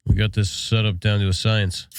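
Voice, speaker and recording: A man's voice: fairly low pitch, flat delivery, normal speed, noisy background. An American man co-hosting a podcast in casual, laid-back shop talk, sounding congested from a sinus infection. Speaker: podcast co-host.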